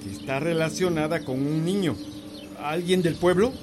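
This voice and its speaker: An actor playing a calm, kindly village priest in a radio drama, gentle and reassuring. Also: male voice, a little high, normal speed, background noise, natural voice.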